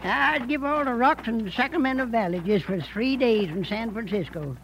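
A man playing a folksy, excitable, grumbling mining-camp workman in an old-time American radio drama, with a rustic American accent. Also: very high voice, normal speed, a little hiss or hum, rough recording. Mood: grumbling, teasing.

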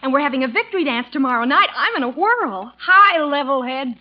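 An actress playing a breezy, strong-willed young college girl in 1940s radio comedy style, girlish and quick to protest. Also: high-pitched, animated. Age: young adult. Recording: muffled old radio recording.

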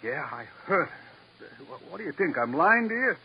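A man's voice: on the high side, very animated, moderate pace, slightly rough, exaggerated voice. An American man playing a rattled, defensive salesman under questioning, stammering, in a classic radio drama. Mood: rattled, pleading. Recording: dull, noisy old radio recording.

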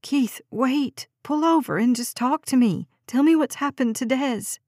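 A woman's voice: on the high side, a little slow, darkish tone, dry recording, clean studio sound, lightly acted. A woman voicing a frantic, demanding mother of a missing girl in an audiobook, urgent and pleading. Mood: panicked, pleading.

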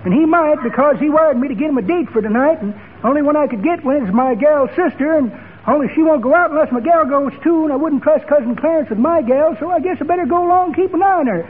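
A man's voice: extremely high pitch, quick pace, a little hiss or hum. An actor playing a folksy, chatty old man in a 1940s radio comedy, rambling on, on a tinny old recording. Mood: folksy, cheerful.

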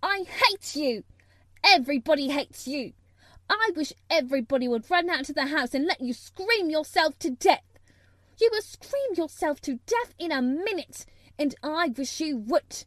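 Woman acting a furious, high-voiced little girl. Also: sing-song, slow pace, hardly any pauses, no echo, studio quality, heavily acted. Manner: shouting, commanding, contradicting. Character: furious, bossy, fierce-tempered little girl.

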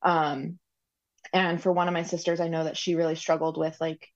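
Low-voiced woman on a dull, lo-fi line. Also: level tone, moderate pace. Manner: rambling, with frequent ums and likes. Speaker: guest, recipient parent. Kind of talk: conversational interview.

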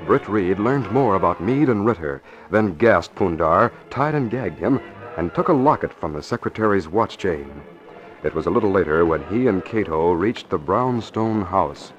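Male narrator for vintage radio serial: very low voice, music underneath. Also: animated, moderate pace. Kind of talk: scripted 1940s radio drama narration. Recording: dull vintage 1940s radio recording.

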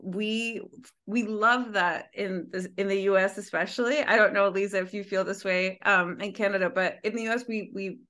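A woman with a mid-range voice co-hosts a podcast conversation. She speaks earnestly and reflectively, moving through her points in a measured way. Her delivery stays conversational. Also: mid-range voice, steady tone, a bit bright.

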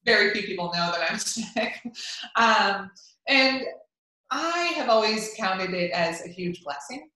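Woman, spanish first language, bright tone, very echoey room, upbeat interview talk. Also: medium pitch, lively intonation, a little slow. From Cuban family. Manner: upbeat, chatty, many fillers and restarts. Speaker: golf media executive. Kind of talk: interview answers.

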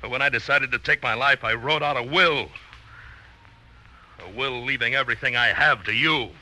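A man's voice: medium pitch, moderate pace, bright, forceful tone, a little hiss or hum. An actor playing a doomed, bitterly resigned man in a 1940s radio drama, speaking with grave, accusing deliberation on a vintage broadcast. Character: doomed, bitterly resigned man facing his blackmailing friend.

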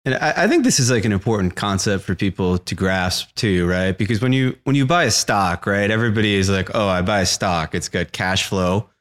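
A man's voice: on the low side, lively intonation, brisk, few pauses, a bit bright. A young man co-hosting a podcast, explaining crypto in a casual chat, often checking in with "right?".

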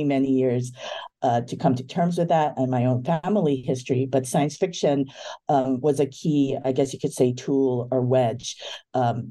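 Fifties woman from Hawaii as an interview guest, with a deep mellow tone and a thoughtful, halting delivery. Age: 50s. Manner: thoughtful, hesitant, with many ums. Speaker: book editor guest.

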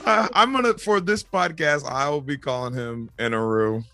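A man co-hosting a podcast, quick to correct the others and tease them in casual chat. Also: fairly high pitch, gravelly, pushed and bright, dry recording. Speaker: podcast co-host.

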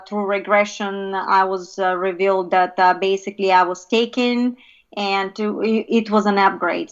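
A woman from Bulgaria, a podcast guest telling her life story in a halting way, full of "uh" pauses. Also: medium pitch, a bit bright, highs cut off.

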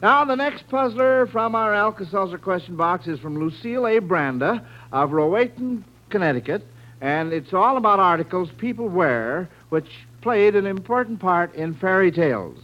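A man's voice: high voice, animated, moderate pace, lo-fi sound. An American man hosting a 1940s radio quiz show in a folksy, encouraging way, on an old recording.

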